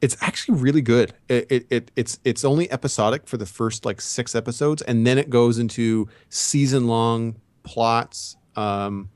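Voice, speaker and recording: A man's voice: mid-range voice, moderate pace, few pauses, darkish tone, no echo. A man co-hosting a casual pop-culture chat, talking in a rambling, opinionated way. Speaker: podcast co-host.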